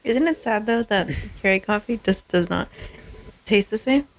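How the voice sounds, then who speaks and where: A woman's voice: mid-range voice, lively intonation, normal speed, a little hiss or hum. A woman co-hosting a podcast, chatting casually, asking questions and laughing easily. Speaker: podcast co-host. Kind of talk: casual chat.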